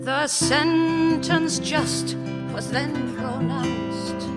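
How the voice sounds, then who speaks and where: A woman's voice: high-pitched, drawn-out words. A woman playing a mournful ballad singer who tells the tale in plaintive folk song for a radio drama. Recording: plucked guitar under the voice. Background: plucked string instrument.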